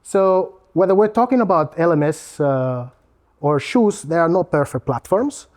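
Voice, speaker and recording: A man's voice: on the high side, animated, normal speed, a little mellow. Spanish-accented man giving a casual talk. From Spain. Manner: casual, joking, filler-heavy presenting. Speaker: learning technology consultant. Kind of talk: conference presentation.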